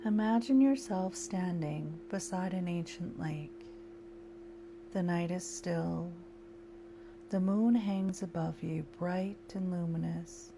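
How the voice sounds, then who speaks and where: Woman, meditation guide, very slow, soothing, gravelly texture. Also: lowish voice, noisy background. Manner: slow, soft, soothing delivery with long pauses.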